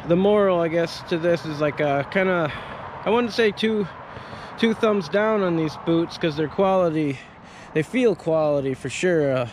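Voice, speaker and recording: Casual arborist, 30s, male, slightly higher voice, stop-start rambling delivery, outdoor action-cam sound with a faint ambient bed. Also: a little hiss or hum. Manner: rambling, halting, many fillers and restarts. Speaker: arborist reviewing gear. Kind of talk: casual gear review vlog. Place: up a tree outdoors in winter. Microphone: head-mounted action camera.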